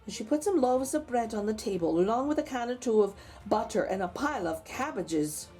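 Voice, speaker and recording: A woman reads a children's story aloud as host, in a highish voice that moves up and down freely. She is expressive and chatty with her young listeners, over soft music. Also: fairly quick, slightly rough. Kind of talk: animated picture-book narration.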